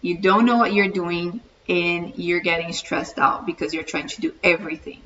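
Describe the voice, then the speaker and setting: A woman's voice: on the low side, steady tone, normal speed, a bit bright. A middle-aged woman from Brazil with a Brazilian Portuguese accent, earnestly introducing her channel and encouraging viewers. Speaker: vlogger, channel host. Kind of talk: casual self-introduction to camera. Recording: distant mic, dull muffled sound, faint room hiss.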